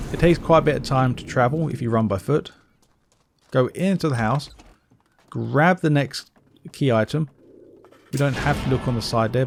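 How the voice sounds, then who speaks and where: A man's voice: medium pitch, creaky, darkish tone. Man doing casual game walkthrough, noisy background. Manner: explains step by step, informal. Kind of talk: casual gameplay commentary.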